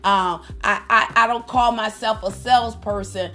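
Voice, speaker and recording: A woman minister preaching fervently on a prayer call, with a slightly raspy voice and frequent bursts of praise. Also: mid-range voice, fairly even intonation, moderate pace, sharp tone, faint music behind. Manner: fervent, repeats praise phrases.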